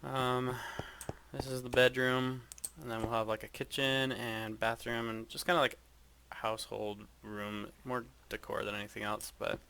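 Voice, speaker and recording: A man giving a casual, hesitant walkthrough of his game base, speaking in a flat monotone with frequent ums. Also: mid-range voice, moderate pace, creaky, a little hiss or hum. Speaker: gaming video creator.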